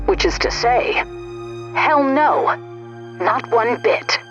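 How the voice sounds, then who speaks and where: Woman playing defiant female rebel leader, deliberate delivery, resolute, dramatic audio drama, slightly dull recording. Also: on the low side. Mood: cold, unrepentant. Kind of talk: dramatic first-person audio drama narration.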